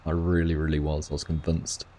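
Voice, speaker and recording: A man's voice: extremely low pitch, monotone, brisk, warm tone, a little hiss or hum. A man hosting a gaming livestream, chatting casually and reading viewers' comments aloud. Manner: rambling, reads chat aloud and answers viewers.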